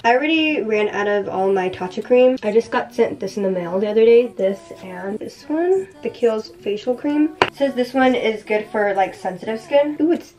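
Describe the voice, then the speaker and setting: A young woman in her twenties chatting casually through her day as a lifestyle vlogger, relaxed and filling her talk with "like". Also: on the high side, low-quality recording. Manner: chatty, relaxed, uses "like" often. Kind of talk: casual vlog narration. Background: soft background music.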